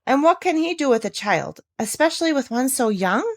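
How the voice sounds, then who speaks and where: A woman's voice: high voice, very animated, brisk, no room sound, studio quality, voice pushed up, full character voice. A woman voicing a talkative, inquisitive village woman from a storybook, speaking in an emphatic, gossipy way. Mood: doubtful, inquisitive. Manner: exclamatory, emphatic, gossipy questioning. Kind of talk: storybook character reading.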